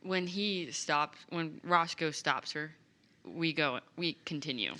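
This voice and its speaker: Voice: mid-range voice, takes it slowly, sharp tone. A tabletop game player talking casually, in and out of character, in banter with the group.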